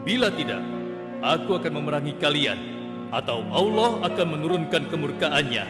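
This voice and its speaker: A man voicing a stern king, over loud music. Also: a little high, lively intonation, lightly acted. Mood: solemn. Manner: stern, measured, regal. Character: male king and prophet, stern, commanding. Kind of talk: cartoon voice acting. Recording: music bed behind.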